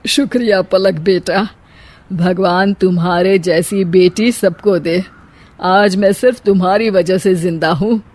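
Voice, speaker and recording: A woman's voice: on the low side, fairly even intonation, a little slow, darkish tone. Tender, grateful father acted by a woman.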